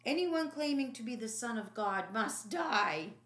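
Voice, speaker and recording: A vengeful storybook priest, voiced by a woman, pushing high and loud in an insistent chant. The drawn-out demands ring with room echo. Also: expressive. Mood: condemning.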